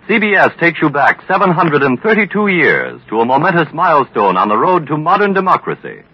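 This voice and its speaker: American male announcer, high-set husky voice, dramatic vintage radio delivery. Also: huge pitch swings, fairly quick, no room sound. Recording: muffled old radio recording.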